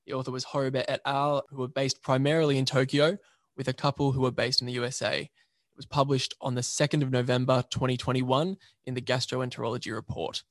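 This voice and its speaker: A man, an emergency registrar, presenting a journal paper by reading a prepared summary in a measured, methodical way. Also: mid-range voice, flat delivery, no echo.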